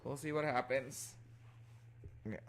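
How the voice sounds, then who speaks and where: A man's voice: mid-range voice, takes it slowly, heavy background noise. A man giving casual, off-the-cuff commentary as he streams a video game. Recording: loud game music behind the voice. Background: game music and effects.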